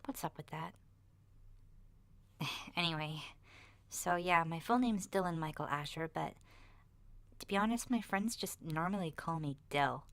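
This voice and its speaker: A woman voicing an awkward ten-year-old boy in a hesitant, rambling ASMR roleplay diary, soft and breathy and often slipping toward a whisper. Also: low voice, measured pace, pauses often, no room sound. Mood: shy, awkward. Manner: hesitant, shy, rambling with sighs and self-corrections.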